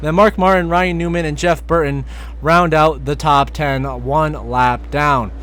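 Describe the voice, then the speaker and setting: A hoarse young man doing play-by-play race commentary as a voiceover. His calls rise with excitement at crashes and passes. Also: on the high side, unhurried. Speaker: sim racing commentator.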